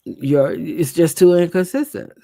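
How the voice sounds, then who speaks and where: A man's voice: a little high, measured pace, gravelly, mellow, no room sound. A man hosting a live stream, chatting casually and thinking aloud with a congested, nasal voice. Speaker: livestream host. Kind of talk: live commentary on a court trial.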